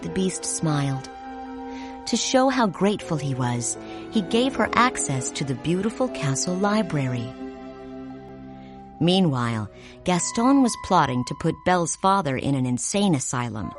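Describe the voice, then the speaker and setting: A woman narrating a children's read-along storybook in a clear, expressive way. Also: deep voice, measured pace. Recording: music playing behind.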